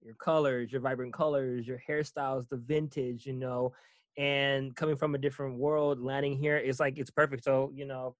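A man's voice: medium pitch, level tone, moderate pace, dry recording. Chatty, laughing male interviewer. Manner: chatty, laughs often, rambling. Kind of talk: casual interview chat.

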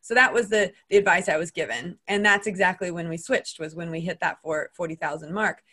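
A woman's voice: on the low side, brisk, bright, forceful tone, slightly rough. An American woman hosting a casual podcast conversation, chatty and talking from her own experience with frequent restarts. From United States. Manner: chatty, with many restarts and asides. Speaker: podcast host.

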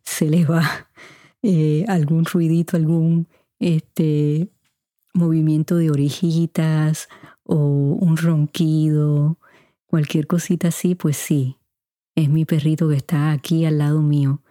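A middle-aged woman with a mostly neutral Puerto Rican Spanish accent, hosting a podcast and answering listener questions in an unhurried, chatty way, speaking straight to her listeners. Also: low voice, flat delivery, dark tone, no room sound, studio quality. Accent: Puerto Rican Spanish, kept mostly neutral. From Puerto Rico. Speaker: podcast host. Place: home recording room. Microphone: studio mic.

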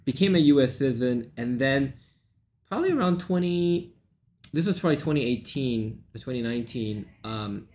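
A man's voice: medium pitch, expressive, moderate pace, a little mellow, slight background noise. A man in his thirties from Malaysia, a sales coach guest recounting his story in long run-on sentences with fillers. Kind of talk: podcast interview.

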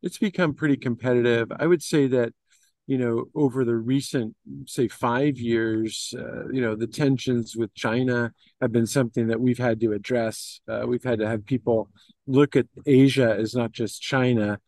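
A middle-aged man speaks as an interview guest in a business conversation. His pitch sits in an ordinary male range and the sound is dry, with little room. He works through his answers in a meandering, hesitant way, padding them with you-knows. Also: fairly even intonation, moderate pace, a little mellow, no room sound. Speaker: interview guest, investment firm executive.

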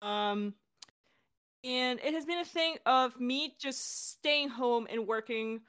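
A young woman hosting a casual podcast chat, talking in a bubbly, excitable and informal way. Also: high voice, slow, clean tone. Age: young adult. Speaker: director and voice actor hosting a Q&A.

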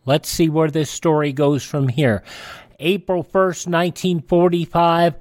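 A man's voice: on the high side, level tone, a little slow, background noise. A man presenting a radio show in scripted announcer style.

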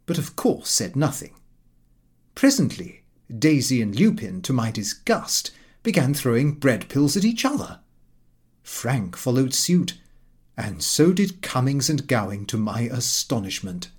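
Animated, husky-voiced male audiobook narrator. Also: mid-range voice. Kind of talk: scripted first-person comic narration.

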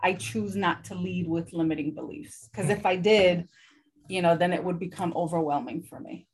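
A woman's voice: fairly low pitch, fairly even intonation, normal speed, a little mellow, slight background noise, poor audio. A woman guest in an interview, speaking earnestly and reflectively about her self-doubt. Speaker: guest, community engagement director. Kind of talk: candid interview answers.

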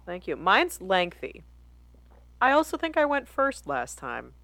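A woman's voice: mid-range voice, huge pitch swings, a little slow, fairly bright tone, no room sound. An American woman co-hosting a podcast, bantering casually with wry, playful, sweary humor. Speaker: podcast co-host.

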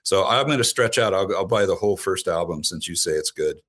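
A man guesting on a podcast in casual chat, halting and repeating his words, chuckling often. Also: low-pitched, animated, a bit bright. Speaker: podcast guest and internet radio host.

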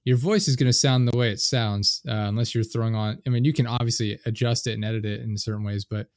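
A man's voice: mid-range voice, fairly even intonation, fast, darkish tone, no echo. A man hosting a podcast in casual interview chat, slightly nasal, who speeds up and trips over his words when he gets going, on a studio microphone. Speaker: podcast host. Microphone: studio dynamic microphone.

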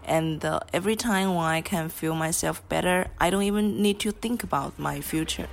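A woman's voice: sits low, normal speed, vocal fry. A woman from Chongqing, a native Chinese speaker, speaking English with a Chinese accent in a hesitant prepared monologue with frequent fillers. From Chongqing, China. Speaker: guest speaker.

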